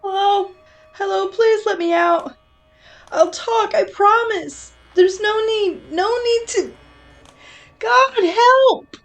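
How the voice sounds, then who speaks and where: A woman's voice: takes it slowly, fairly bright tone, faint music behind, exaggerated voice. A woman voicing a terrified captive who begs frantically and desperately in an audio horror drama. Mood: terrified pleading. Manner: frantic, high-pitched begging.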